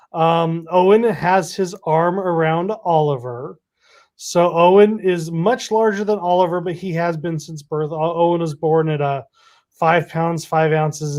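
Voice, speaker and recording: A man's voice: on the high side, a little mellow. A man, hosting a casual chat and teasing his co-host. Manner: easygoing, teasing.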